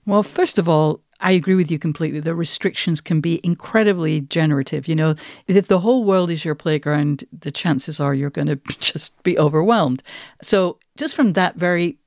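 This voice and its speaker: A woman's voice: low voice, moderate pace, darkish tone, no room sound, studio quality. A woman hosting a podcast, talking conversationally and reflectively about process in articulate sentences. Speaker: podcast host. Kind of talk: conversational discussion of writing process.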